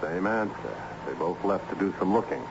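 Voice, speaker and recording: An actor playing a wry, exasperated professor in a vintage radio drama. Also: male voice, deep voice, expressive, normal speed, gravelly. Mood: eager, courtly. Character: exasperated, wryly theatrical male professor. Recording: noisy, muffled old radio broadcast.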